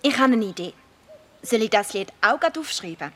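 A woman's voice: on the high side, lively intonation, normal speed. A woman playing a curious, dreamy schoolgirl in a children's radio play, with a Swiss German accent and a questioning manner. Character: curious, dreamy schoolgirl, earnest and a little naive.

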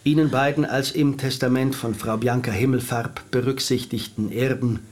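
Casting: male lawyer for radio drama, mid-pitched, measured and officious, courteous, exact with legal phrasing played by a man. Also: steady tone, a little mellow, lightly acted. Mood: formal.

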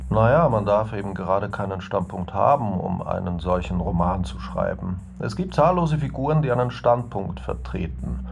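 Gravelly, sleepy male novelist. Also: low voice, expressive, normal speed, hardly any pauses, background noise. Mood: noncommittal. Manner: sleepy, noncommittal. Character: weary, evasive male novelist being interviewed on radio. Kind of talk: acted radio interview. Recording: music behind the voice.

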